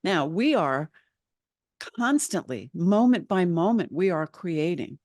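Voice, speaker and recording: A woman's voice: on the low side, big pitch swings, takes it slowly, darkish tone, no echo, studio quality. A woman channeling spiritual teachings as a guest, speaking earnestly and insistently as a collective "we" over a video call.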